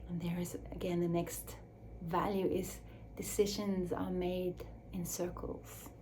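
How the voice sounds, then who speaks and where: Soft-spoken woman, non-native English. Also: lowish voice, little pitch movement, a little hiss or hum. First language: Swiss German or Dutch. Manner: slow, searching, with restarts and soft drops to near whisper. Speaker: speaker on her own channel. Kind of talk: reflective solo talk.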